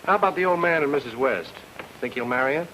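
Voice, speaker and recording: An actor playing a glib, wisecracking private detective in an old-time radio drama. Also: male voice, fairly high pitch, lively intonation, quick pace, pushed and bright. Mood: brisk, businesslike. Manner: glib, flippant. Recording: noisy old radio recording.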